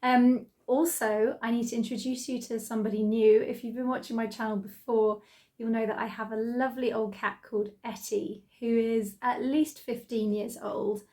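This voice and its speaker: A middle-aged British woman hosting a sewing channel, her voice croaky from laryngitis, chatting excitedly with lots of ums. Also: a little high, moderate pace. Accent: British English. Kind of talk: casual vlog chat.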